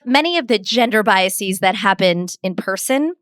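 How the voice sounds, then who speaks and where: A woman's voice: mid-range voice, animated, moderate pace, a bit bright, no echo, studio quality. A middle-aged woman, a communications expert, answering interview questions in a confident, structured way and giving examples.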